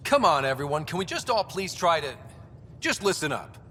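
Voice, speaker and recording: An actor playing a young, earnest expedition leader in a sci-fi video game, talking in a casual way. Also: male voice, on the high side, sing-song, moderate pace, pushed and bright, a little hiss or hum. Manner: casual, conversational. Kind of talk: naturalistic game dialogue.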